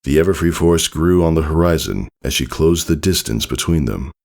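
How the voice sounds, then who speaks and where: Very deep, gravelly male story narrator. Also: lively intonation, fairly quick. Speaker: fan fiction narrator. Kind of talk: dramatic scripted story narration. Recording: soft music behind the voice.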